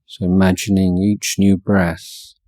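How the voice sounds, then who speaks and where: A man guiding a meditation as a teacher, speaking in short measured phrases with long pauses between them. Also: low-pitched, monotone, warm tone, no echo. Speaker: Buddhist monk teaching meditation. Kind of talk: guided meditation instruction.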